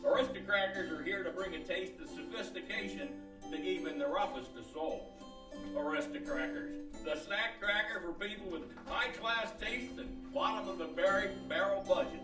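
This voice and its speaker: A man playing a cantankerous, folksy country huckster who pitches snacks in colorful rural slang in an old-time radio commercial. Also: moderate pace, close to an everyday voice. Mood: folksy, boastful. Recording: roomy, distant live recording with music. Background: string instrument music.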